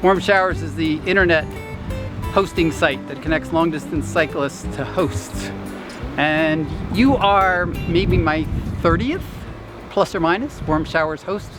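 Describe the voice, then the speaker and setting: A man's voice: on the high side, a little slow, music in the background. A man who is a long-distance cyclist, explaining plainly how he travels and meets his hosts. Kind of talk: casual interview.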